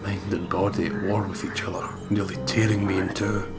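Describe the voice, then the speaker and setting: A man's voice: sits low, little pitch movement, fairly quick. A man reading first-person horror narration aloud dramatically as a let's play host, with game music behind him. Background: prominent game music.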